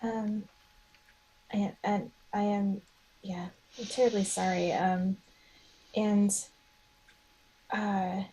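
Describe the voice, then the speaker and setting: A woman's voice: mid-range voice, little pitch movement, extremely slow, pauses often, warm tone, husky, dull audio. A woman playing a tabletop game, chatting and improvising casually in a hesitant, apologetic way. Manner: hesitant, apologetic, many trailing restarts. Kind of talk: casual chat and improvised role-play.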